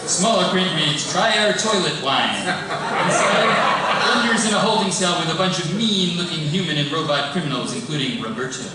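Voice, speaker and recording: A man's voice: sits high, moderate pace. A man narrating scripted stage directions at a live table read. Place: large live event room. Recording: echoey hall, distant mic, loud room noise.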